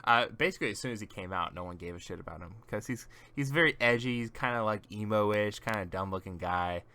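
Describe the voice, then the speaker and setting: Bright-voiced man chatting on a game stream. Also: fairly low pitch, fast talker. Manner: teasing, rambling explanations. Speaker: streamer showing game characters to a friend. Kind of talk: casual gaming stream chat.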